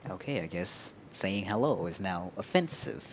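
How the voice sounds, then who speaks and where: Low-voiced sarcastic man gaming. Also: animated, moderate pace, a little hiss or hum. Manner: sarcastic, dry quips. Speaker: gamer reacting to a story quest. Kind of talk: casual gameplay reaction commentary.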